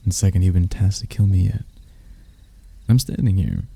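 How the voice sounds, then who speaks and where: A man voicing a seductive, smug demon in human form, smooth-talking, teasing and husky, in an intimate ASMR roleplay. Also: deep voice, big pitch swings, normal speed, warm tone, heavily acted. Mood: amused, taunting. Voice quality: gravelly, husky.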